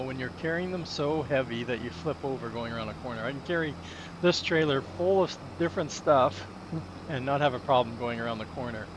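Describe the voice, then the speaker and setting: A man with a raspy voice hosting a farming game playthrough, talking casually and chuckling between remarks. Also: mid-range voice, background noise. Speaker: gaming channel host. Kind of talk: casual let's-play commentary. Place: small home room.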